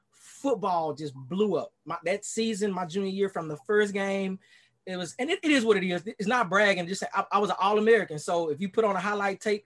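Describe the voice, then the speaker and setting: A man's voice: high-pitched, a bit bright. A man in his forties from Dallas with a Texan accent, a pastor sharing his life story in a casual interview over a video call, earnest and rambling with many fillers. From Dallas, Texas. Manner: earnest, rambling, many "you know"s and restarts. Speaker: pastor and podcast guest.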